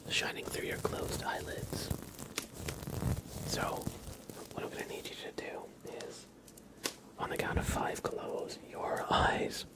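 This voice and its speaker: A man whispering softly and casually as he hosts an ASMR livestream, in a hushed, unhurried manner. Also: low-quality recording.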